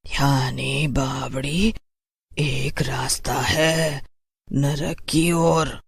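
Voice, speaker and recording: A narrator voicing an old village grandmother who speaks in a slow, drawn-out, grave and ominous way, in a horror story. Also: mid-range voice, steady tone, creaky, breathy edge, no room sound, clean studio sound, full character voice. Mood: grave warning. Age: older.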